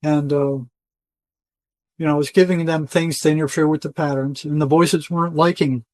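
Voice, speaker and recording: A man's voice: a little high, steady tone, moderate pace, a little mellow, no echo. An older man talking casually as an interview guest, telling rambling stories in colloquial words and voicing quoted dialogue. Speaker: interview guest, retired psychotherapist. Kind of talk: conversational storytelling, casual interview.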